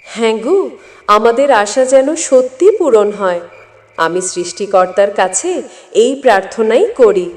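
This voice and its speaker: High, animated village wife acted by a woman, reverberant. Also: a little slow. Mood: hopeful. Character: caring, gently scolding village wife and mother. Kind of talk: dramatised moral story acting. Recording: long echoing reverb.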